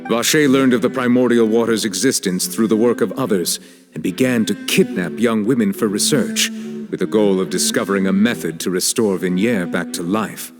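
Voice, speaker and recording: An actor playing a stern, composed judge in an anime game, speaking in a formal, authoritative manner. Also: male voice, lowish voice, moderate pace, natural voice. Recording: loud music behind.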